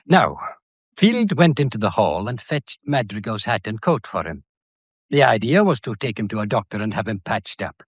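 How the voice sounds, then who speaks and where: Theatrical, probing police inspector, animated delivery. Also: male voice, on the low side, husky, no room sound, highs cut off, heavily acted. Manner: deliberate, pedantic, wryly courteous. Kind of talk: detective radio drama acting.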